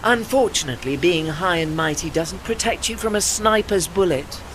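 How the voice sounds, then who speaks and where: A woman's voice: on the low side, animated, natural voice. Woman playing a dignified old warship, noisy background. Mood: solemn recollection. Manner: measured, articulate, formal. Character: dignified old female warship, retired, gentle and wistful. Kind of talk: audio drama acting.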